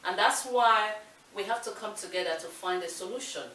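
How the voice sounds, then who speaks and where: A woman's voice: medium pitch, fairly quick, bright, forceful tone. A woman from Nigeria with a Nigerian accent, co-hosting a talk show and making her points in an earnest, emphatic way. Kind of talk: casual discussion. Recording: echoey room with light hiss, mic distant.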